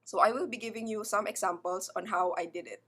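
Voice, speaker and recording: A woman's voice: medium pitch, steady tone, fast, fairly bright tone. Woman with an Ilonggo accent explaining exam tips. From Philippines. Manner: mixes English and Tagalog, explanatory. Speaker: librarian giving exam tips. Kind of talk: casual instructional vlog.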